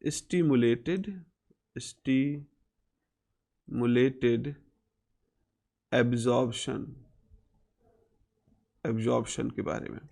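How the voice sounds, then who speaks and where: Male Indian physics lecturer, mid-pitched, instructional delivery, explains step by step and repeats key terms. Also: gravelly. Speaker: physics teacher.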